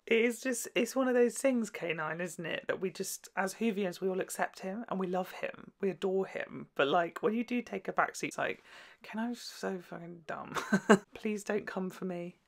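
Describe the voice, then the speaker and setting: A woman's voice: medium pitch, moderate pace, gravelly, soft background music. A woman hosting a casual, gushing and sometimes sweary reaction commentary on children's television. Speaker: video host reviewing children's TV.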